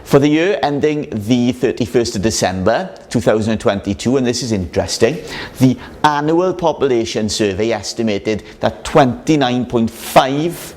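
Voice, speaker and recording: A man's voice: medium pitch, darkish tone. A Welsh man, a minister, giving an earnest talk to a chapel audience, with frequent ums and uhs. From Wales.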